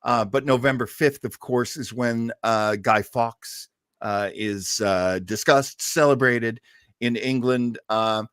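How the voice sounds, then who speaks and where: A man's voice: mid-range voice, takes it slowly, fairly bright tone, smooth, no echo. Male online show host, informal and jokey, loose emphatic phrasing, recorded dry. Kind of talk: casual live show chat with announcements.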